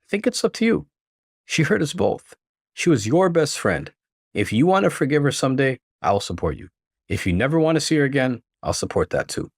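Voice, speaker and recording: A hurt but devoted young husband in a narrated story, played by a man. He speaks earnestly and emphatically, with lively pitch movement and a close, dry sound. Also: brisk, breathy edge, no room sound. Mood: supportive.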